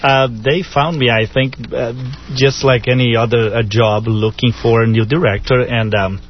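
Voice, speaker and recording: A man from Brazil with a Brazilian accent, German his first language, talking as a guest on a radio show, relaxed and joking with many fillers. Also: mid-range voice, normal speed. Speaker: artistic director, guest. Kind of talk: radio interview. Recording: dull radio studio sound with faint noise.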